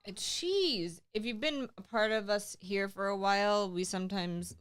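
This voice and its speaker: A woman's voice: mid-range voice, measured pace, smooth. A woman co-hosting a livestream, reading viewer comments aloud and chatting casually. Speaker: livestream co-host. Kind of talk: casual stream chat.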